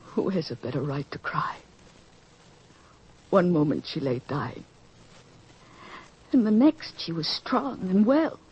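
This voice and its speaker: A woman's voice: on the low side, big pitch swings, slow pace, pauses often, husky, exaggerated voice. An actress playing an overwrought mother in an old-fashioned radio drama, with theatrical, emotional delivery. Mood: overwhelmed. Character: overwrought mother of a sick young girl. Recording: dull, noisy lo-fi radio recording.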